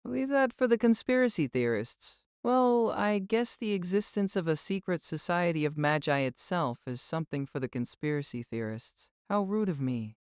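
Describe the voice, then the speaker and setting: Shrewd elderly aristocratic mage, played by a female narrator: smooth, lowish voice, casual and teasing, quietly threatening, dry close sound. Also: expressive, moderate pace, hardly any pauses, no echo, natural voice. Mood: breezy deflection. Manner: breezy, teasing, nonchalant. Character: shrewd, casually menacing old noblewoman mage, a realist. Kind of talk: audiobook character acting.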